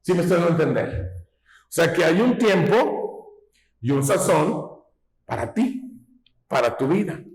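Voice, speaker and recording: Slow preacher in an echoey hall. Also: male voice, fairly high pitch, sing-song. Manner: folksy, asks rhetorical questions, teaching tone. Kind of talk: sermon.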